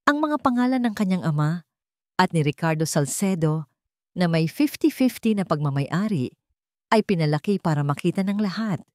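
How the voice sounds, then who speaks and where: A woman's voice: on the low side, lively intonation, normal speed, dry recording, clean studio sound. A woman reading a scripted drama story as its narrator, in a dramatic storytelling style.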